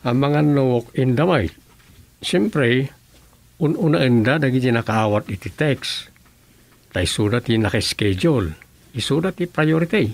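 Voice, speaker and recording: A man's voice: medium pitch, animated, a little slow, long pauses, dry recording. A man acting as a practical, scheming townsman in an Ilocano radio comedy drama, with an Ilocano accent. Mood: conversational, grumbling.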